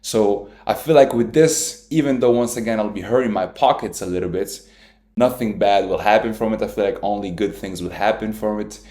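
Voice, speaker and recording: Earnest young man, low voice, echoey room. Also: fairly quick, warm tone. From Italy. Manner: earnest, repetitive, self-taught English with small slips. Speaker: trading educator. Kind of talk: casual talking-head lecture.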